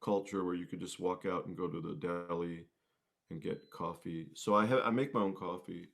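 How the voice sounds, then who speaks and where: A man from the United States chatting casually as an interview guest, with a mellow, laid-back manner. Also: low voice, fairly even intonation, moderate pace, vocal fry. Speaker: singer-songwriter guest.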